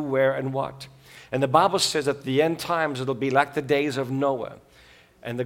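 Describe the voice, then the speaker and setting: A man's voice: medium pitch, fairly bright tone. A middle-aged man from Switzerland, preaching a sermon in a folksy, joking way with many filler sounds. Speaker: guest preacher.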